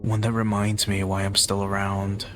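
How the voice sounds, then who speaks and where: A man playing a gentle, affectionate partner in a soft-spoken ASMR roleplay, tender and intimate, pausing often. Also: fairly low pitch, monotone, slight background noise, close to an everyday voice. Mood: tender, reflective. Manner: tender, unhurried, intimate, with pauses. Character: gentle, affectionate, teasing male partner offering comfort.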